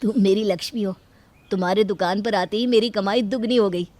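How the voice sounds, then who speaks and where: A woman's voice: medium pitch, a little fast, darkish tone, a little hiss or hum, lightly acted. A woman voicing a supportive, affectionate young husband who sells tea, in a cartoon moral story. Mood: delighted.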